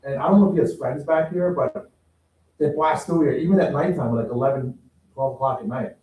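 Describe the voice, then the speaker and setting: A man, a resident at a community meeting, raising complaints in a rambling, animated way with many fillers. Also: on the high side, fairly quick. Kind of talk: casual talk at a community meeting. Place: meeting room. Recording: echoey room audio from a distant mic.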